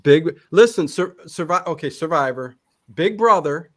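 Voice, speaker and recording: Middle aged guy just chatting, kinda high voice, emphatic, repeats himself a lot. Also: lively intonation, normal speed. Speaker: interview guest. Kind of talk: casual chat.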